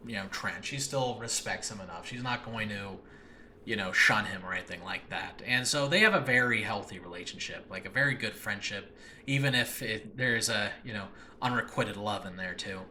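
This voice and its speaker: A man's voice: fairly low pitch, normal speed, bright, forceful tone, slight background noise. A man talking casually and enthusiastically as a solo podcast host about his own project, rambling and often searching for words in a makeshift home recording. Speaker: podcast host and audio drama creator. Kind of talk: casual solo talk previewing own show.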